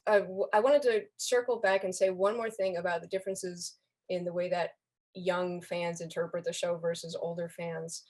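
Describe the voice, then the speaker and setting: A young woman with a fairly low voice talks as a guest in a casual, lively fan discussion. She is wry and puts emphasis on her points. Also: moderate pace. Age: young adult. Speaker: podcast guest, fan community member.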